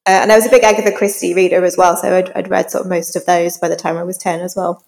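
Northern Irish woman, creaky, in reverberant space. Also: lowish voice, level tone, fast, a little mellow. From rural Northern Ireland. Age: 40s. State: slightly congested from a cold. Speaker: crime novelist, interview guest. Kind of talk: casual conversational interview.

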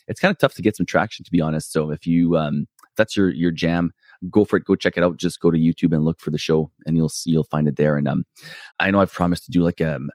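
Low-voiced man rambling on his podcast. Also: darkish tone, dry recording, studio quality. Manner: rambling, self-deprecating, many fillers and restarts. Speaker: podcast host. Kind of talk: casual solo podcast talk.

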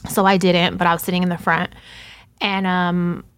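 Frank, low-voiced woman. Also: level tone, normal speed, clean tone, no echo. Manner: frank and profane, with many fillers. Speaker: podcast co-host. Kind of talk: candid casual chat.

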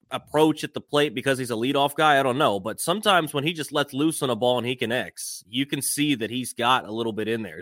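A man's voice: medium pitch, fairly bright tone, dry recording, clean studio sound. A man co-hosting a sports podcast, giving conversational baseball analysis.

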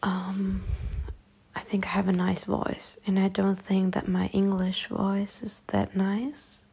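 A young woman with a German accent, German her first language, musing softly and partly whispering while lying in bed at night for her vlog. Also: medium pitch, level tone, slow, gravelly, husky. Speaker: YouTuber. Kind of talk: late-night personal vlog.

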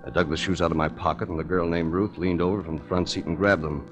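A man's voice: low voice, fairly even intonation, fast, over music, close to an everyday voice. A man playing a suave, wry hard-boiled investigator in a 1940s radio drama, speaking in a dry, urbane, wisecracking way. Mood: wry narration.

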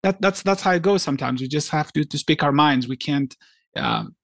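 A man from Greece co-hosting a podcast in casual chat, talking haltingly with many restarts and fillers. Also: a little high, lively intonation, gravelly, a bit bright, dry recording, clean studio sound. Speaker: podcast co-host.

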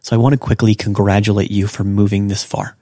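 Low-voiced upbeat man teaching a language. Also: flat delivery, dark tone, dry recording, studio quality. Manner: upbeat, repeats example phrases slowly. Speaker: podcast host and Japanese teacher. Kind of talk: casual spoken language lesson.

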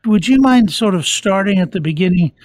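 A man's voice: sits high, animated, fairly quick, a little mellow, dry recording. Formal older male podcast host. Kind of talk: interview introduction and questions.

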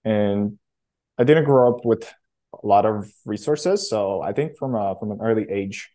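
A man, answering interview questions in a casual, halting way with many restarts. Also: lowish voice, warm tone. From Romania. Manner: halting, frequent self-restarts and fillers. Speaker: startup founder guest.

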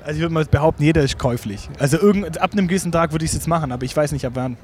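A young man, telling anecdotes in a colloquial, halting, casual chat. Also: on the high side, a little hiss or hum.

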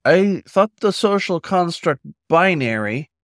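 A man plays a young male werewolf from an audio story, lifted in pitch. He sounds curious and tentative, always questioning and a little unsure of himself. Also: lively intonation, slow, a bit bright, no room sound, studio quality, exaggerated voice. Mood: puzzled.

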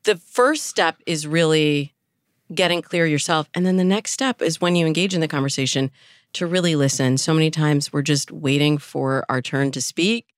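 Low-voiced woman giving coaching advice. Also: moderate pace, no echo, studio quality. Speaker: dating coach, interview guest. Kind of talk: conversational advice in a podcast interview.

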